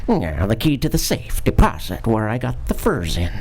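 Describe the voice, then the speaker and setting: A man's voice: fairly low pitch, wildly swooping pitch, normal speed, a crowd in the background. A man in a community radio play voicing a threatening fur thief who holds a gun. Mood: menacing. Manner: threatening, sneering. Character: menacing male fur thief holding a gun. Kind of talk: community theater radio drama.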